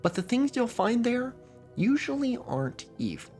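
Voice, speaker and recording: Documentary narrator, male, from Oregon; wry, lively delivery over light music. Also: normal speed. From Oregon, USA. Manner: wry, conversational storytelling with lively pitch swings.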